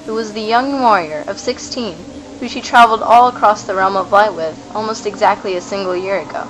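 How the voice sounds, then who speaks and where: A woman's voice: mid-range voice, fairly quick, a bit bright, a little hiss or hum, rough recording. A woman reading scripted narration for a fantasy radio play. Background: music.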